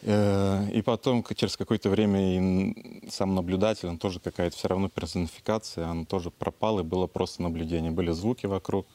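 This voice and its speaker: A man sharing his meditation experience at a retreat, speaking haltingly and searching for words. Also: low-pitched, steady tone, mellow, no room sound. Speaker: retreat participant. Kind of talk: personal testimony.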